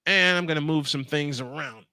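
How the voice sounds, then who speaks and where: A man's voice: a little high, normal speed, pushed and bright, clear, resonant tone, no echo. A man doing live baseball play-by-play on a stream, mixing in casual chat and rambling as he thinks aloud.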